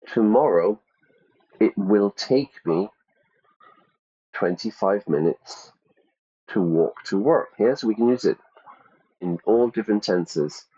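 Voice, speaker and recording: Slow-talking male English teacher. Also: fairly low pitch, mellow, breathy edge, lo-fi sound. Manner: slow, stretched-out questions with repeated options. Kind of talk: ESL quiz lesson.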